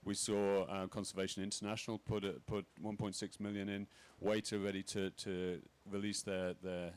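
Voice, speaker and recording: Halting deep-voiced man on a panel. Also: level tone, smooth. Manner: halting, many fillers and restarts. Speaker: panel speaker. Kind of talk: conference panel remarks. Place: conference pavilion.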